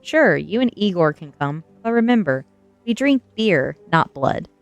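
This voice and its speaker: A woman's voice: on the low side, very animated, unhurried, muffled recording, soft background music. A woman voicing a cocky rich teenage boy, a smug, mocking bully in an audiobook story. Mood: smug taunting. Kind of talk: audiobook character voice.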